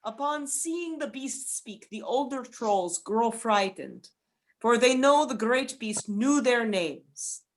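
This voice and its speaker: A woman's voice: a little high, animated, slow. A woman narrating a scripted folktale as a dramatic storyteller for a radio play.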